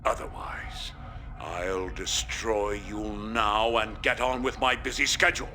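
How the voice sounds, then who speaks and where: A blustering, bright-edged Klingon colonel. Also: male voice, on the low side, a little slow. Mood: scornful bluster. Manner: theatrical, sardonic, insulting. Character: blustering, scornful Klingon colonel commanding a warship. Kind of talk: video game cutscene acting.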